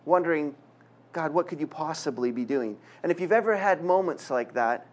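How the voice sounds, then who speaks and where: Earnest Canadian-accented preacher, fairly high voice. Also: male voice, expressive, normal speed. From Quebec, Canada. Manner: conversational, self-deprecating humor, earnest. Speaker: guest preacher. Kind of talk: sermon with jokes and prayer.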